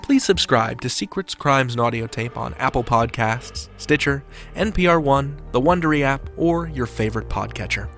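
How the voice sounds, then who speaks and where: A man's voice: mid-range voice, expressive, normal speed, a bit bright, faint music behind. A man hosting a podcast with scripted narration in a wry, playful manner. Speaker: podcast host.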